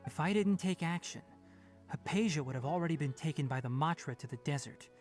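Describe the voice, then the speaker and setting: A woman voicing a composed young male scholar in an anime video game, measured, articulate and thoughtful. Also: low voice, a little mellow.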